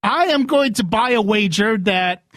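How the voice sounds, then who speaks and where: High-voiced man in comedy banter. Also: expressive, unhurried, a bit bright, no echo, clean studio sound. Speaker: show co-host. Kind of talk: casual improvised comedy banter.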